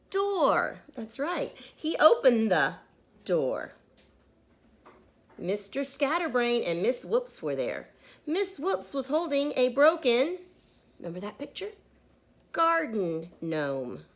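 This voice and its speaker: A woman reading a children's book aloud like a teacher, deliberate and pausing so listeners can join in. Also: a little high. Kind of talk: interactive children's read-aloud.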